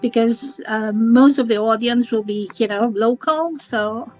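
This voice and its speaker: Chatty woman with a high voice. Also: measured pace, faint music behind. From Philippines. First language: Tagalog. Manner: chatty, fretting over technical glitches. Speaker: livestream host, psychic medium. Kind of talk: casual livestream chat. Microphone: computer or phone mic on a live stream. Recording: dull lo-fi livestream audio.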